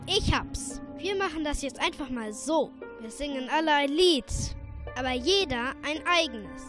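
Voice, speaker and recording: A woman acting a curious, excitable earthworm ringleader in a children's radio play. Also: sits high, measured pace, over music. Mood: scheming.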